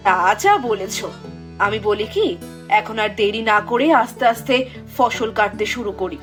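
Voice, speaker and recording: A woman voicing an expressive young village wife in an animated folk tale. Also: mid-range voice, moderate pace, faint music behind, close to an everyday voice. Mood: calm. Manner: exclaiming, expressive.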